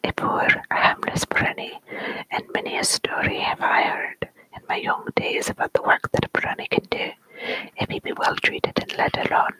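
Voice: normal speed, bright, forceful tone, close to an everyday voice, rough recording. A whisperer doing a stern old village granny. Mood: scolding. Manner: scolding, folksy sayings, whispered.

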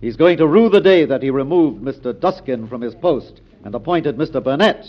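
An actor playing a combative, confident American senator in 1940s radio drama style, speaking in an emphatic, oratorical way. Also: male voice, mid-range voice, expressive, a little fast, lightly acted. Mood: smug. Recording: dull old radio broadcast recording.

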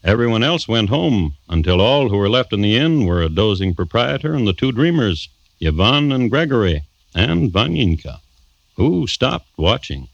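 A macabre, wry crime storyteller hosting an old radio drama. His low voice swoops between words, deadpan and deliberate with dramatic pauses. The sound is dull, like a vintage broadcast. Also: a little hiss or hum. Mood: grave, deliberate. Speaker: host and narrator. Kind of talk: 1950s radio drama narration.

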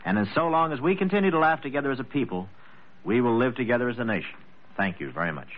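A man's voice: medium pitch, lively intonation, quick pace. An American man giving a scripted, folksy and oratorical storytelling address in 1940s radio style. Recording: muffled vintage radio broadcast.

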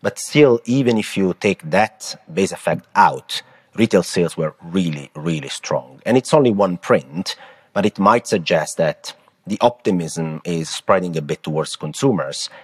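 A man with an Italian accent co-hosting a podcast, talking through economic data in a lively, emphatic way. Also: sits low, a little slow, husky. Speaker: podcast co-host. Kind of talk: casual market discussion.

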